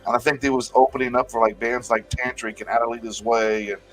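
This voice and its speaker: A man's voice: mid-range voice, fast talker, faint music behind, rough recording. Man in his forties hosting a chat. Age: 40s. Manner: animated, swears freely, repeats words. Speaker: show host. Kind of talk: casual chat.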